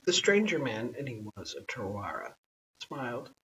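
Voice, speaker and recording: Animated storyteller, distant and hissy. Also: medium pitch, normal speed. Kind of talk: expressive bedtime story reading. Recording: dull, hissy, distant home recording.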